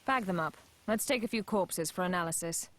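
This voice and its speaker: A woman playing a brisk, businesslike captain of investigators in an animated film, giving orders. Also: lowish voice, slight background noise, lightly acted. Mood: brisk, concerned. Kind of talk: animation dub acting.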